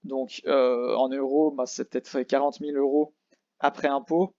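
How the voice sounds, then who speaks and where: A man's voice: mid-range voice, flat delivery, normal speed, dark tone, dry recording, lo-fi sound. A young man from near Geneva who switches between French and English, casually rambling through a livestream chat with lots of restarts and fillers. He speaks French with a Geneva Swiss accent. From France near Switzerland. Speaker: livestream host.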